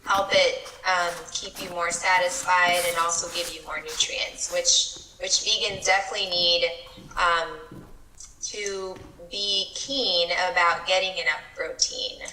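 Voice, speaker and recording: A woman from Miami with a bright, forward voice explains food in a relaxed, casual way. She hesitates often, restarting phrases and filling pauses. Her voice carries a roomy echo with faint background noise. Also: lowish voice. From Miami, Florida. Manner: hesitant, many fillers and restarts.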